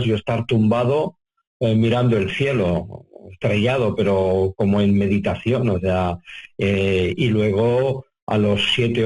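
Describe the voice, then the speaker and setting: A man's voice: on the low side, darkish tone, rough recording. An older man from Spain answering as an interview guest, reflective and conversational, wordy and often rephrasing as he goes. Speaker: interview guest, UFO contactee researcher.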